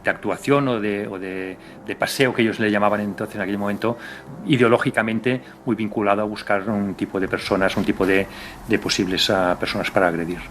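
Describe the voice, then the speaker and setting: A man recalling police work in an interview, halting and circling back to rephrase. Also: fairly low pitch, steady tone, fairly bright tone, slight background noise. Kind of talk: interview recollection.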